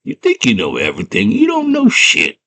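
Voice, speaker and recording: A man's voice: mid-range voice, wildly swooping pitch, brisk, sharp tone, voice pushed up, heavily acted. Small big-headed goblin fellow, acted by a man, grumpy, blunt, taunting, with swooping intonation. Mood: mocking. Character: grumpy, mocking little big-headed male goblin creature.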